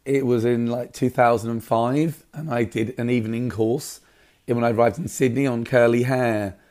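A man with a slightly raspy voice, talking casually and keenly as an interview guest about his work. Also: mid-range voice, fairly even intonation, normal speed, a little mellow. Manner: enthusiastic. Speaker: hairdresser, salon owner, interview guest.

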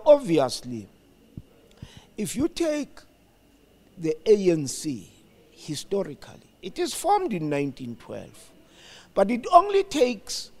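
An older South African man giving reflective interview answers in a deliberate, emphatic, explanatory manner. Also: high voice, a little mellow. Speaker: studio guest, former radio broadcaster.

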